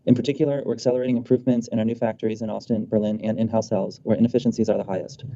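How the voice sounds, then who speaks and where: A man's voice: mid-range voice, mellow. A male executive fluently and formally reading a scripted statement on an earnings call. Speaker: company executive. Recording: dull muffled conference call audio.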